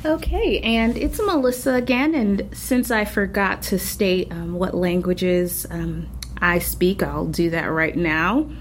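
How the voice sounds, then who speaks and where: Unhurried woman moderator, native Haitian Creole speaker. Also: fairly low pitch, slight background noise. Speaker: panel moderator. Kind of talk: panel discussion.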